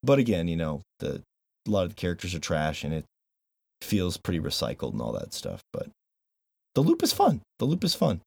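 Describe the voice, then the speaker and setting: A man's voice: low voice, a little fast, gravelly, slightly rough, dry recording. A man hosting a casual podcast, chatting loosely with some swearing and reading a sponsor plug. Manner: swearing, animated, reads sponsor plugs. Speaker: podcast host.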